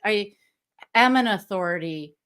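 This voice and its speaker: A woman's voice: mid-range voice, lively intonation, a little slow, a bit bright, clean tone. A woman hosting a livestream interview, enthusiastic and reflective in casual talk over a video call. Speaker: stream host.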